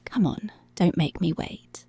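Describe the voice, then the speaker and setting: A woman playing a dominant, teasing policewoman in an intimate, half-whispered ASMR roleplay. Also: natural voice. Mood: domineering. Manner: slow, breathy half-whispered, possessive teasing.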